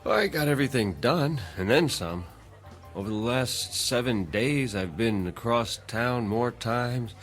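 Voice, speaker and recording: An actor playing an eager, harried young job seeker in a radio drama, polite and a little hesitant. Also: male voice, mid-range voice, expressive, a little slow, a bit bright, soft background music. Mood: defensive, puzzled. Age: young adult.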